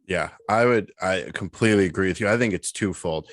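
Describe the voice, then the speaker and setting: Low-voiced man in casual podcast chat. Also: fairly quick, no echo, clean studio sound. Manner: animated storytelling with slang and swearing. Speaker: podcast co-host.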